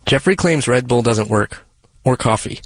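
A male reporter reading scripted narration for a radio feature in a measured, even storytelling delivery. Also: mid-range voice, background noise.

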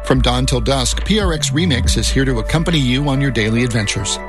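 A man's voice: mid-range voice, fast. Upbeat male station promo with music. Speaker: station announcer. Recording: studio promo over music.

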